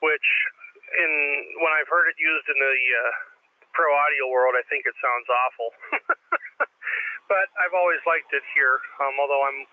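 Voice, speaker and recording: A man's voice: medium pitch, measured pace, no room sound. A man with a thin, tinny voice chatting casually as an amateur radio operator over a radio link. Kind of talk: casual ham radio chat. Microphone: studio dynamic mic into a radio transceiver. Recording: tinny narrow-band radio link with static.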